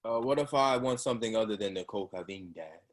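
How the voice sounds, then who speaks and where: A man's voice: on the low side, fast talker, close to an everyday voice. A man playing an exasperated, defensive college-age son in a play reading. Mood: testy. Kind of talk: readers theatre play reading.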